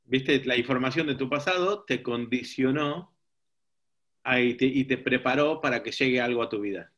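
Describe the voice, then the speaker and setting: A man's voice: mid-range voice, moderate pace, a bit bright. A man giving an informal teaching talk to a group, reflective and often checking in with rhetorical questions. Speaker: seminar teacher.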